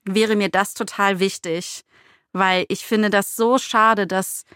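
A woman's voice: mid-range voice, steady tone, slow pace, fairly bright tone, smooth, dry recording. Informal woman co-host, close dry sound. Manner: informal, opinionated. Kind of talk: casual discussion.

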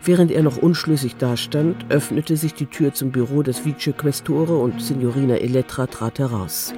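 A man narrating a radio crime drama in a calm, composed literary style. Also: medium pitch, normal speed, soft background music.

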